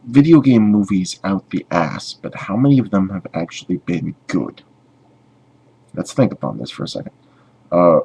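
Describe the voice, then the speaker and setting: A man giving a casual opinion rant as a video blogger, halting and rambling, with sarcastic griping. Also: low-pitched, a little mellow, breathy edge, poor audio.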